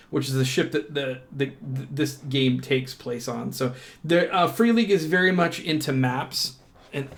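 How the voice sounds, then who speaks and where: A man with a mid-pitched voice talks casually as he presents an unboxing indoors. His delivery is enthusiastic and informal, a little halting, with thoughts that trail off and pick up again. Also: creaky. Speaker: host of a tabletop game unboxing channel. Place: indoors at a tabletop.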